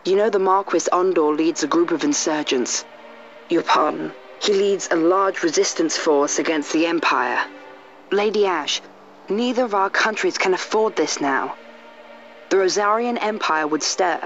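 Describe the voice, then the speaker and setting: A man's voice: high-pitched, a little slow, fairly bright tone. Earnest, courtly boy prince. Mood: earnest, urgent. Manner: formal, polite, earnest. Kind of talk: dramatic game voice acting. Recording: dull lo-fi game audio over music.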